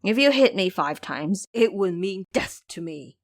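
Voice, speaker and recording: A cowardly pig-monster fool, played by a woman in an audio storybook. He pleads and protests in exclamations, with a very lively, swinging pitch. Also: measured pace. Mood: pleading.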